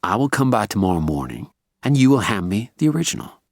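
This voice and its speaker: A man's voice: on the low side, very animated, quick pace, no room sound, studio quality. A man voicing a polite but menacing Southern American heavy, courtly with a steely edge, in a Southern US accent.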